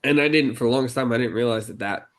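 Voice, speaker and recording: A man co-hosting a podcast, talking slowly and deliberately in a casual chat, with frequent fillers and restarts. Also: mid-range voice, a bit bright. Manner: halting, many fillers and restarts, deliberately slowed speech. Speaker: podcast co-host. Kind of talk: casual conversational podcast talk.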